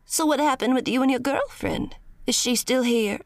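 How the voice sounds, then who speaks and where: A woman voices a gentle, consoling support-group member. Her fairly high voice has a gravelly fry, recorded dry and close. She plays the calm and wise figure of an audio drama. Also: normal speed, no echo.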